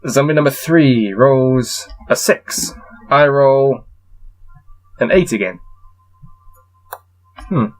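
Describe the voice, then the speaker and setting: A man reading a gamebook aloud and adding low-key, wry muttered commentary as he plays. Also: lively intonation, slow pace, long pauses, soft background music, rough recording. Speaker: solo gamebook player and reader.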